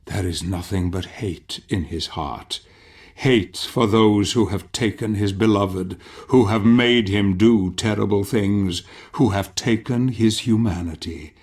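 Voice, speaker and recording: An actor performs a foreboding older male narrator for a dark fantasy game. His voice sits very low and moves very slowly. Each phrase is placed deliberately, with grave, theatrical solemnity. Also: natural voice. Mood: grim, portentous. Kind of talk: dramatic scripted game narration.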